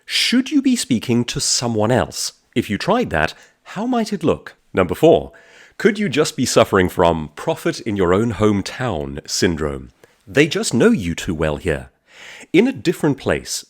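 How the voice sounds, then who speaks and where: A man giving a motivational business talk as a presenter, phrasing points emphatically and deliberately, with frequent rhetorical questions. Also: medium pitch. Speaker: author and speaker presenting a business advice talk.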